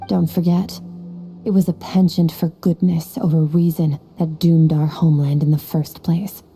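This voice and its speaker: An actress voicing a cold, detached adult swordswoman who speaks in a flat, unemotional manner. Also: low voice, normal speed. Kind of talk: video game cutscene dialogue. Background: faint music.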